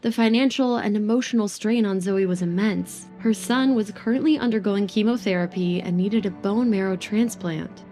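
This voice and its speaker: A woman's voice: mid-range voice, normal speed, hardly any pauses, mellow, soft background music. A woman narrating a dramatic scripted story as an audio drama narrator.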